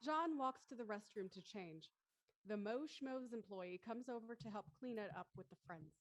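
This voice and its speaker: A woman's voice: fairly high pitch, normal speed, dry recording, low-quality recording. Woman narrator with a dry, close sound. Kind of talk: scripted narration.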